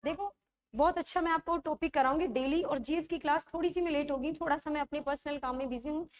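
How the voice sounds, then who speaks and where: A woman teacher with a high voice leads an online grammar class, her throat sore. She keeps coaxing the class with quick check-ins and slows right down to spell out examples. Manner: coaxing, checks understanding often; writes examples while speaking, slows to dictate them.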